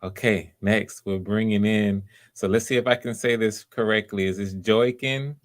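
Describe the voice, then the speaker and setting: A man's voice: lowish voice, fairly even intonation, moderate pace. A man hosting auditions in a casual, encouraging way, often repeating his words. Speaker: audition host.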